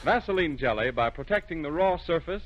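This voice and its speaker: A man's voice: a little high, animated, brisk, fairly bright tone. A man announcing in a formal, polished 1930s radio advertising style, on a muffled old recording.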